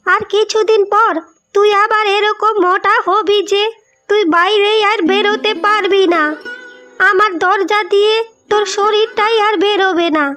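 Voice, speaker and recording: A bitter older mother-in-law in a village cartoon fable. Her voice is piercingly high and full of nagging complaint. Faint music runs behind her. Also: steady tone, unhurried, sharp tone, full character voice. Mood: angry scolding. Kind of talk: Bengali cartoon moral story acting.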